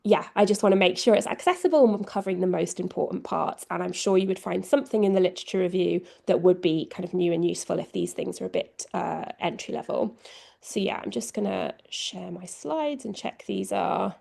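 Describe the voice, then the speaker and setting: A woman with an English accent speaking over a video call, her voice carrying a gravelly, fry-tinged texture. She presents in a chatty, self-deprecating way, leaning on fillers as she goes. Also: lowish voice, lively intonation, brisk, warm tone. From England. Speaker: guest speaker, communications co-lead. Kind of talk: online presentation.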